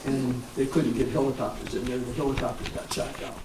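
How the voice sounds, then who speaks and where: An American man in his seventies, a war veteran reminiscing informally in a halting, rambling way with frequent pauses. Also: medium pitch, little pitch movement. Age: 70s. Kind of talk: informal reminiscence at a public talk. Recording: echoey, distant mic, clear background noise.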